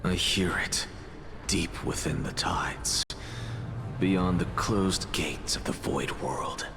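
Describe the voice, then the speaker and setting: A man playing a brooding, theatrical scholar who narrates a dream in a fantasy game, with deliberate, dramatic delivery. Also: sits low, vocal fry, full character voice. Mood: ominous, awed. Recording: loud music behind the voice.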